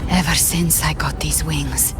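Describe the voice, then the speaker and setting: An actress playing a proud, fearless young warrior in a video game, speaking bluntly and defiantly with deliberate weight. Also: female voice, low voice, steady tone, music in the background. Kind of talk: dramatic video game voice acting.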